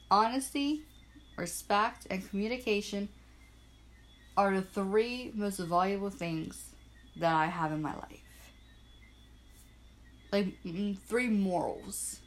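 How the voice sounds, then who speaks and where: A young woman from Bermuda hosting her own small podcast, chatting in an upbeat, self-deprecating way and spelling things out slowly. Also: medium pitch. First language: Portuguese and English bilingual. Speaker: podcast host. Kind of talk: casual solo podcast chat. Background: frogs and crickets at night.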